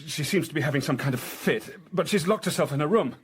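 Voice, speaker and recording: Actor as rapid-talking, blunt English gentleman. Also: fairly high pitch, expressive, a little hiss or hum, lightly acted. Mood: agitated. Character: agitated young English gentleman, blunt and impatient. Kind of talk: radio drama acting.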